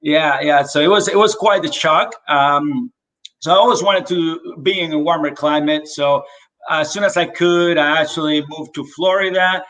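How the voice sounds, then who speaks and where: Animated man telling his story. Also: a little high, normal speed, low-quality recording. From Dominican Republic. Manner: animated storyteller with many fillers. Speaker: real estate agent, guest. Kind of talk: casual video call interview.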